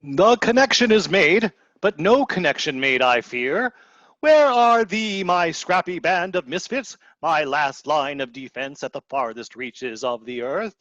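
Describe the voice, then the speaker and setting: A man's voice: a little high, lively intonation, measured pace, fairly bright tone, dry recording. A man voicing a pompous, scolding professor who commands misfits in a mock-archaic radio play, with theatrical delivery. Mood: forlorn, grand. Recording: dull, dry lo-fi video-call audio.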